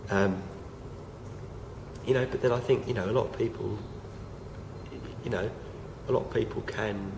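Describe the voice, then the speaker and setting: A young man, croaky from feeling unwell, talking casually to camera with many fillers and restarts. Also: lowish voice, fairly even intonation, normal speed, pauses often. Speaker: vlogger. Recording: noisy home recording.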